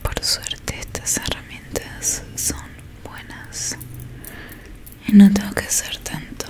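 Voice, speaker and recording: A young woman with a Rioplatense Spanish accent doing an ASMR roleplay in a soft, breathy whisper close to the microphone, slowly repeating words to soothe. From Argentina. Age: young adult. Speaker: ASMR roleplay creator playing a technician. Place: small dim curtained room indoors. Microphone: close microphone in front of her.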